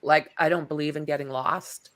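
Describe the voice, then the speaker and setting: A woman hosting a casual livestream chat, talking in a relaxed, rambling way with lots of fillers. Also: deep voice, moderate pace. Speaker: livestream host.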